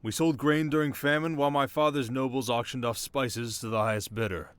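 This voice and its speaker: An actor playing a sharp, haunted young king in an intimate ASMR romance roleplay, talking wryly and dramatically to his listener. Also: mid-range voice, fairly even intonation, a little fast, smooth, no echo, natural voice. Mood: bitter reminiscing. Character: young desert king, sharp, wry, haunted, teasingly tender.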